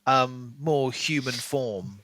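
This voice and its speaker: A man's voice: mid-range voice, slow, fairly bright tone, dry recording. A man co-hosting a podcast, chatting casually about books and thinking aloud as he goes. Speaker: podcast co-host. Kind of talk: casual book discussion.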